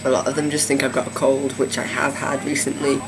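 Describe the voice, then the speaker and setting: A man talks through a casual video diary in a mid-pitched, gravelly voice, pausing often with fillers and starting phrases over. A steady air-conditioning hum runs under the whole recording. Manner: hesitant, many fillers and restarts. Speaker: vlogger.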